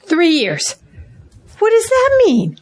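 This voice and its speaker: An actress playing an anxious, fretful pastor's wife in an audio drama, worried and emotional. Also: female voice, extremely high pitch, huge pitch swings, slow pace, heavily acted. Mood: distraught.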